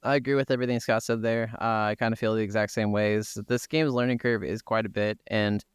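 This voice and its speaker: Male co-host, fairly deep and crisp, informal agreeable game talk, recorded close and dry. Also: fairly even intonation, brisk, dry recording. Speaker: podcast co-host. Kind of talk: casual video game discussion.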